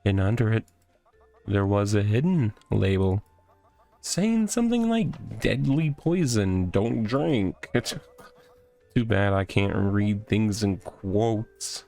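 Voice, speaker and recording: A man voices an oddball box-dwelling guy from a comic visual novel. He rambles haltingly and matter-of-factly in a low, creaky voice, over faint music. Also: expressive, warm tone, exaggerated voice. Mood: rambling, breezy. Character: odd, rambling, deadpan-joking man living in a box.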